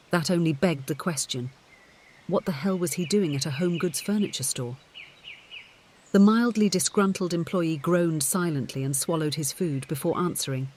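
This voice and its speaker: A man's voice: a little high, a little mellow. A man reading scripted audiobook fiction as its narrator.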